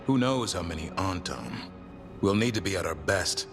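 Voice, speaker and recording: Game fighter: low register, plainspoken, serious, clear musical score underneath. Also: male voice, animated, moderate pace, over music. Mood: sober questioning. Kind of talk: fantasy video game dialogue.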